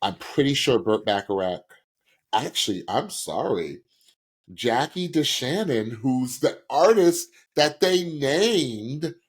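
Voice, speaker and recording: A young man co-hosting a podcast, reviewing singing performances in an animated, chatty way, with many restarts. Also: medium pitch, takes it slowly, breathy edge. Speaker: podcast co-host. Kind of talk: casual music review chat.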